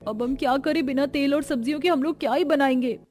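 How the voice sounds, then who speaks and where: A woman's voice: sits high, quick pace, darkish tone, natural voice. A woman voicing a fretful, talkative young daughter-in-law in a cartoon family story, exclaiming and questioning. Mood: worried. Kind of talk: Hindi cartoon dubbing.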